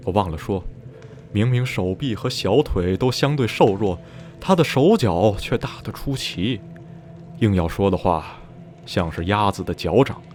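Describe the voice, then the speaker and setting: A man playing an uneasy survivor of a sinister town, recounting dreadful memories in measured, literary Mandarin for a radio drama. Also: medium pitch, darkish tone, lightly acted. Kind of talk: radio drama acting, narrating in interview. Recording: music behind the voice.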